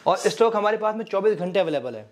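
A man who owns a laptop shop, answering a vlogger's questions with a polite sales pitch in a warehouse. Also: animated, quick pace. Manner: polite salesman's pitch, often says "जी, बिल्कुल". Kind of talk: casual interview answers.

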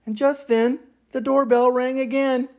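An older man reading a children's picture book aloud as an expressive storyteller. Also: very high-pitched, unhurried. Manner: expressive, acts out sound effects and voices.

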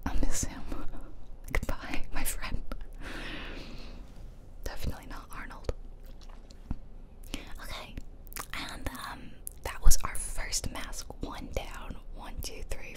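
A woman whispering chatty, self-deprecating commentary over gameplay in a soft, breathy ASMR style. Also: slow, pauses often. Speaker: ASMR gaming video host.

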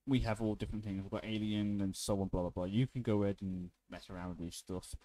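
A man giving a casual, unscripted software tutorial in an informal, offhand way as the presenter. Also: on the low side, flat delivery, quick pace, no echo, low-quality recording.

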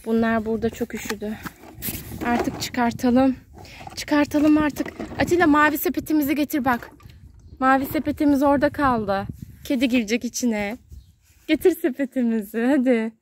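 A woman's voice: high voice, moderate pace. A woman talking casually to her young child in a coaxing, sing-song way as she films outdoors in a garden on a phone. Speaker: mother filming her child at play. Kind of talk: casual chat with a toddler. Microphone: handheld phone, behind the camera. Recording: faint outdoor background noise, clicks.

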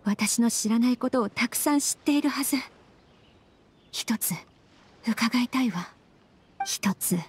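A woman voicing a gentle, wistful spirit in human form for an anime game, soft, polite and hesitant, often breathy. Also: mid-range voice. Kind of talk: Japanese anime game voice acting.

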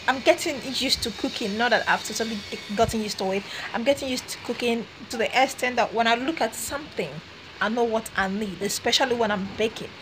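A woman's voice: on the high side, background noise. A young woman vlogging to her phone camera from a small room, chatting in a casual, encouraging way and often restarting her phrases. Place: small room indoors, seated on a red couch. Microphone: phone camera at arm's length.

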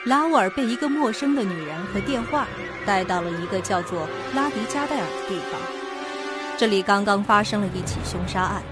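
A woman's voice: medium pitch, animated, brisk, close to an everyday voice. A woman reading scripted dramatic narration for a suspense radio play. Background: music behind the voice.

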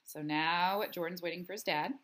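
A woman's voice: fairly low pitch, steady tone, moderate pace, a bit bright, slight background noise. A woman reading a storybook aloud expressively, adding explanations as she goes. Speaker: read-aloud narrator. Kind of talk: storybook read-aloud with commentary.

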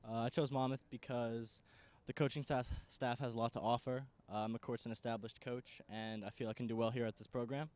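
A man's voice: mid-range voice, level tone, fairly quick, few pauses, darkish tone. A young man from New Jersey, a bit hesitant, answering into a handheld mic on an outdoor soccer field. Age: young adult. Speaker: college soccer recruit. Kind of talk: casual on-camera interview.

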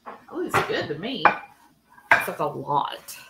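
Slow, lively-pitched woman at dinner. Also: vocal fry, heavy background noise. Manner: reads viewer comments aloud. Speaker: livestream co-host. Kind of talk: casual live cooking chat. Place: home kitchen. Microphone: fixed camera mic at the table.

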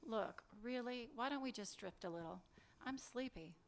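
A young woman reading aloud as a weary, impatient lover, soft-spoken and curt, at a table mic. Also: on the high side, expressive, normal speed, vocal fry. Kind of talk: live fiction reading of dialogue. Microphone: table mic on a stand.

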